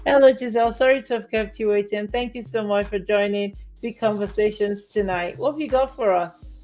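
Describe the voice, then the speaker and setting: A woman's voice: mid-range voice, brisk, faint music behind. A woman hosting a live talk show, chatty and upbeat as she welcomes and thanks her guests. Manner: upbeat, chatty, warmly promotional. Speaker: host.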